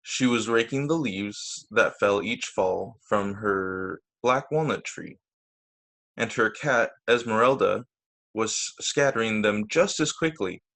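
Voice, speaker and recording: A man's voice: lowish voice, vocal fry, fairly bright tone. A man reading a children's storybook aloud as a teacher, stressing key words expressively over a video call. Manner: deliberate emphasis on key words, with short asides to listeners.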